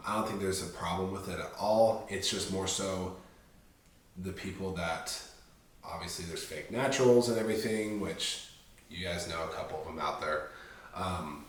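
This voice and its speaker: A man in his twenties, stuffy and nasal from a sinus infection, chats in a loose, rambling way full of ums and restarts. His fairly low voice bounces around a very echoey room. The mic sits a little way off. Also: recorded from a distance. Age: 20s. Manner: laid-back, rambling, frequent ums and restarts. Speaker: bodybuilding vlogger. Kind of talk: casual talk to camera.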